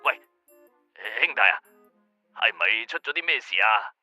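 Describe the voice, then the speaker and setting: A man's voice: on the low side, animated, a little slow, pushed and bright, soft background music, heavily acted. A man playing a foul-mouthed, cowardly joker of a friend in a radio drama, brash and whiny through a phone-like filter. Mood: concerned. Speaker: voice actor. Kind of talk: Cantonese radio drama acting.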